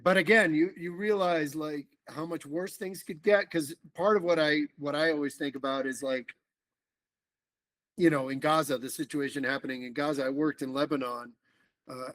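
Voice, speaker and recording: A middle-aged man from the Dominican Republic, talking casually as a podcast guest in an animated, rambling way with lots of fillers and restarts. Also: medium pitch, no room sound. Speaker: political organizer guest.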